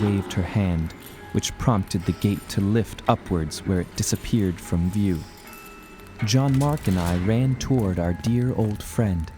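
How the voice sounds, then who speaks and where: A man narrating a scripted first-person adventure story in a measured, dramatic way. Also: sits low.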